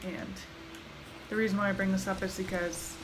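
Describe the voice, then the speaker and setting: A woman's voice: mid-range voice, level tone, moderate pace, noisy background. A woman giving casual, explanatory commentary as she plays through a video game. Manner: informal, explanatory. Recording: loud game ambience behind voice.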